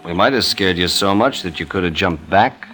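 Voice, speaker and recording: An actor playing a dogged, quietly menacing police detective, terse and coolly probing, in an old radio crime drama. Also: male voice, sits low, animated, moderate pace, a little hiss or hum. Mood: quietly needling. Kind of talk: 1940s-50s radio crime drama acting.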